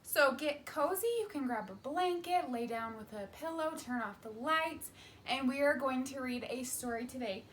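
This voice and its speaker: A woman teacher reading a children's storybook aloud in an expressive, emphatic way. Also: sits high, moderate pace, slight background noise.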